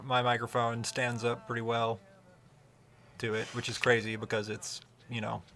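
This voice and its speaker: A man with a fairly low voice, chatting casually on a livestream and rambling with many fillers and restarts. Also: gravelly, a bit bright. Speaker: streamer talking about microphones.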